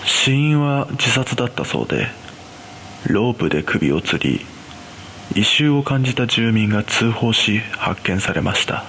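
A man playing an uneasy cleaner, narrating a scripted first-person horror story in a measured, hushed way. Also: medium pitch, lively intonation, slight background noise. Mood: grave, uneasy. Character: uneasy male trauma-scene cleaner recounting an eerie experience.

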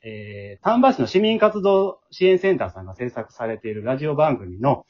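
A man's voice: mid-range voice, sing-song, moderate pace. A man hosting a casual group radio chat in a polite, chatty way, often turning to others for their views. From Japan. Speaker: host. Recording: dull, muffled lo-fi audio.

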